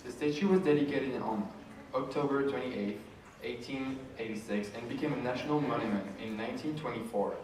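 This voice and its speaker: A man's voice: mid-range voice, a little fast. A man reading a scripted informational presentation aloud as a student presenter. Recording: echoey room, distant mic, noisy.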